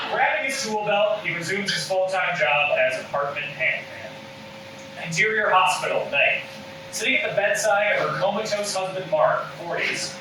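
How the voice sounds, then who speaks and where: Higher-voiced man narrating in an echoey room. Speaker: stage directions reader. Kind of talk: scripted narration at a table read. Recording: roomy, distant mic with loud background noise.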